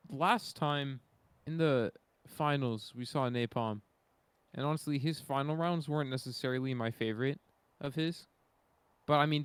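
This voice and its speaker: A man co-hosting a podcast in casual chat, hesitant and thinking out loud as he talks. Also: medium pitch, smooth, no room sound. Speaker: podcast co-host.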